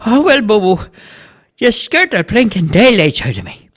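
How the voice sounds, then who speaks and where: A man's voice: high-pitched, very animated, moderate pace, fairly bright tone, slightly rough, voice pushed up, full character voice. A man voicing an easily startled Irish working man in a storybook.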